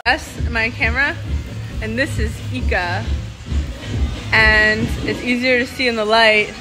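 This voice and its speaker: High-voiced, excitable young woman vlogging. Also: measured pace, music in the background, low-quality recording. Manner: excitable, playful exclamations. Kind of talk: casual vlog chat to camera.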